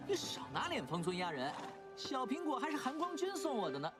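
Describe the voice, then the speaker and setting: A man voicing a playful, teasing young man in a dubbed animated series. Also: very high voice, normal speed, heavily acted. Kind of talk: Chinese anime dub. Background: show soundtrack music.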